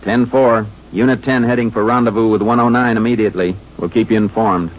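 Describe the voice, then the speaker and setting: Male actor for methodical radio Ranger: deepish voice, businesslike and clipped in wording, on a faintly noisy vintage recording. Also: steady tone, a little fast, muffled recording, natural voice. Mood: brisk, official. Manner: terse, businesslike, matter-of-fact. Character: steady, methodical Texas Ranger investigator, adult man. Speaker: lawman and narrator. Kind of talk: 1950s radio crime drama acting.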